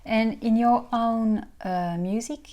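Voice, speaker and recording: A woman interviewer asking halting questions, with many fillers and restarts. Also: a little high, mellow, muffled recording. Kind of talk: casual interview talk.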